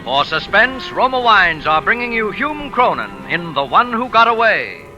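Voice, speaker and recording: High-pitched vintage male radio announcer. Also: very animated, normal speed. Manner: dramatic, declamatory. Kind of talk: 1940s radio sponsor announcing. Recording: dull vintage radio under very loud music.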